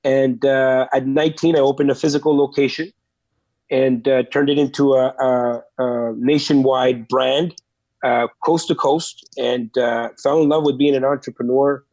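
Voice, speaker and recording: Man in his 40s from el salvador, earnest podcast guest, lots of uhs, flat dull sound. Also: mid-range voice, normal speed, no room sound. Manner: earnest, many fillers and restarts. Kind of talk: telling his life story in a podcast interview.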